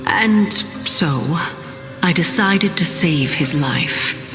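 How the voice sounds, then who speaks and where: Slow, low-voiced playful goddess, echoing. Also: female voice, lively intonation. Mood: composed, musing. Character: ancient playful goddess of reason, composed and teasing. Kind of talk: video game character acting. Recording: long reverb, music behind.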